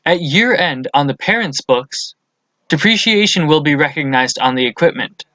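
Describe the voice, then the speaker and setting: A man's voice: on the high side, expressive, normal speed, sharp tone, no echo, muffled recording. A man presents a lesson as scripted instructional narration, explaining things methodically step by step.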